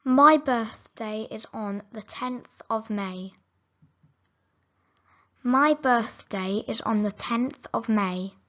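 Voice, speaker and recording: A woman reading simple English phrases for learners, saying each sentence slowly and clearly, then repeating it. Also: fairly high pitch, bright, forceful tone, dull audio. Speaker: English teacher.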